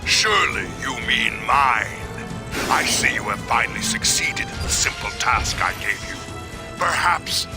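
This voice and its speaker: A man playing a domineering, megalomaniac robot warlord in a video game, with a gravelly voice and an imperious, menacing delivery. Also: medium pitch, lively intonation, measured pace. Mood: commanding menace. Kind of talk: dramatic video game villain acting. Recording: loud cinematic game music behind.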